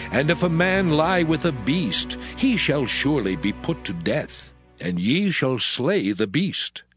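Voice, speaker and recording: A man playing God as a stern lawgiver, declaiming archaic scripture in a solemn, measured, emphatic way. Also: medium pitch. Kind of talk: dramatized scripture reading in archaic English. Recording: music under the voice. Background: music.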